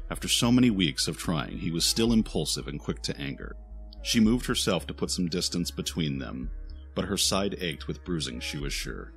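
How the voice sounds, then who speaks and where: Deep guy narrator reading a fantasy audio drama, bit of music under him. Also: a bit bright.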